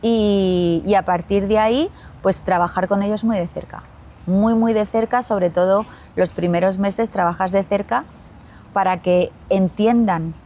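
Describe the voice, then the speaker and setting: A woman with an Andalusian Spanish accent, a company chief answering interview questions in a measured way, pausing and repeating as she thinks. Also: medium pitch, fairly even intonation, warm tone, slight background noise. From Spain. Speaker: glamping company CEO, guest.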